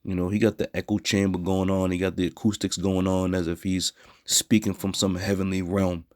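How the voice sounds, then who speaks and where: A hoarse man teaching scripture in a blunt, scornful way, with a frequent "all right?". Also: low voice, level tone, brisk, darkish tone, no echo, studio quality. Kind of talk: street preaching scripture lesson.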